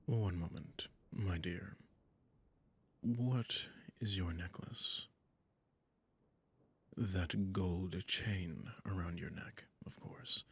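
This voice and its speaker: A suave, sadistic vampire lord, acted by a man speaks in a deep, husky near-whisper that crackles with fry. He is possessive and condescending, wrapping threats in silky courtesy. The delivery is intimate roleplay audio, unhurried and menacing. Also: exaggerated voice. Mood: silky, teasing. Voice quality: breathy, gravelly, near-whispering. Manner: slow, silky, mock-courteous with condescending endearments.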